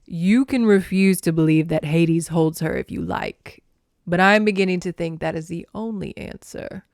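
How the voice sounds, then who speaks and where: A woman plays a sea god from a mythological novel, forceful and contemptuous. He speaks bluntly and matter-of-factly, in a close, dry recording. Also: on the low side, moderate pace, no room sound, lightly acted. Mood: blunt, scornful.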